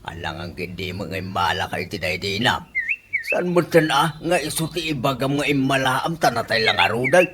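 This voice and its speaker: A woman's voice: a bass voice, expressive, a little fast, noisy background. A woman playing a good-natured, teasing father of fifty in an Ilocano-accented radio comedy, given to exclamations. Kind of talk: comedy radio drama acting.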